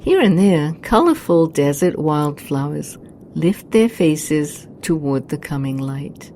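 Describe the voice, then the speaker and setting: A woman narrating a scripted guided meditation in a soothing, hushed, unhurried manner. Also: low voice, sing-song, slight background noise.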